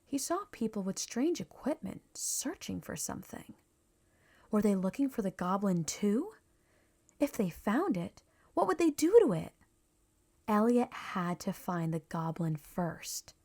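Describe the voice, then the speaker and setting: A woman reading a children's storybook aloud in a measured, expressive storytelling manner. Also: medium pitch. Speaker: storybook reader. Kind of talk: scripted children's story narration.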